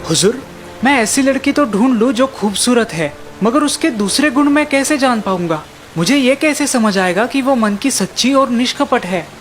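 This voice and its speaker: A man's voice: very high voice, expressive, normal speed. An earnest young sultan from a children's cartoon fairy tale, voiced by a man. He is kind-hearted, respectful and full of questions, with music faintly behind him. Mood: deferential. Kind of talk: Hindi children's animated fairy tale acting.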